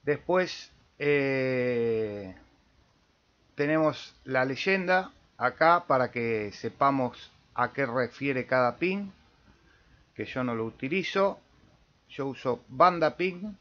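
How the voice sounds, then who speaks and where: A man with an Argentine accent giving a casual step-by-step software tutorial as host, with small check-in tags. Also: mid-range voice, lively intonation, takes it slowly. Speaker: amateur radio tutorial host. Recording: dull lo-fi voice-over recording.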